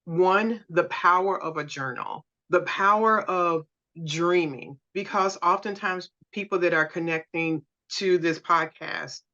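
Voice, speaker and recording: A woman's voice: on the low side, unhurried, pushed and bright, dull audio. A woman hosting a podcast, asking earnest, searching questions in a casual interview over a video call. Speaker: podcast host.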